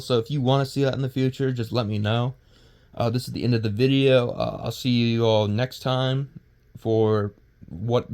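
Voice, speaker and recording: A man giving casual, unscripted commentary as a video creator, rambling and halting, often stumbling over words and starting again. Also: mid-range voice, a little mellow.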